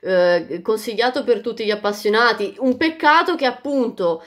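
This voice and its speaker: A woman co-hosting a casual vlog, talking in a lively way with many hesitant fillers. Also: fairly high pitch, moderate pace. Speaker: vlog co-host. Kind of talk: casual chat.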